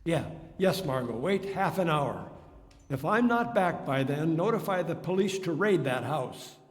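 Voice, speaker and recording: A man playing a calm, shrewd gentleman crime fighter in 1930s radio style, measured and deliberate. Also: a little high. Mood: grave, intent. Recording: echoey hall, long reverb.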